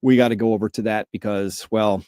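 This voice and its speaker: A man's voice: on the low side, steady tone, a little fast, dark tone, smooth, no room sound, clean studio sound, soft background music. A man giving informal, opinionated news commentary as a host, emphatic and alarmed, repeating words for emphasis.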